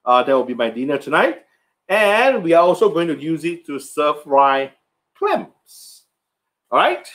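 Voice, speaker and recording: A man's voice: on the high side, sing-song, a little slow, fairly bright tone. A middle-aged man hosting a live cooking stream, chatting loosely as he sells a kitchen appliance in front of a stand mic. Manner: chatty, loose sales talk with slips in grammar. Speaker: host of a live cooking show selling an air fryer. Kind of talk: live-stream product demo chat. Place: home kitchen set with a product backdrop, indoors. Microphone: stand mic in front, framed from the waist up.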